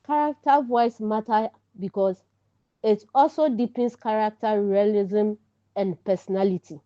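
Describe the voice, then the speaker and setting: A woman with a Ghanaian accent giving an instructional lecture as a teacher, speaking deliberately in short, emphatic phrases. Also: mid-range voice, mellow. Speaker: writing teacher presenting a lesson. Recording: dull, lo-fi sound.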